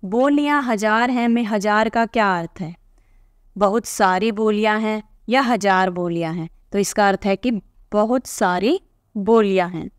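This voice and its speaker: A woman's voice: mid-range voice, a little slow, dry recording, studio quality. A woman teacher reading aloud from a textbook and patiently explaining each answer to young pupils.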